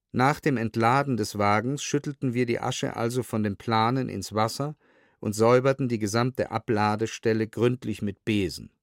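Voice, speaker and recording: A man reading a scripted documentary audiobook in a sober, measured way, close to a studio microphone. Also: medium pitch, dry recording. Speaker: reader of historical testimony.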